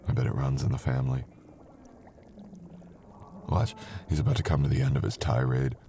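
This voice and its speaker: Man acting a smug, gravelly low-voiced flirt. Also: moderate pace, dark tone, a little hiss or hum. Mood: teasing, intimate.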